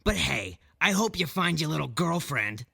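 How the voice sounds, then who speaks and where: A man's voice: on the high side, sing-song, normal speed, vocal fry, pushed and bright, breathy edge, full character voice. A man voicing a cocky animal gangster villain who taunts in old-time tough-guy slang, in a detective radio play. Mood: sneering. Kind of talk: 1940s hard-boiled radio detective drama acting.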